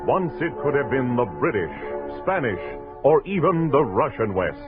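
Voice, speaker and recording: An American man narrating in a formal, measured mid-century radio style, as the programme's announcer. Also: mid-range voice, big pitch swings. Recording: muffled old radio recording. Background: music.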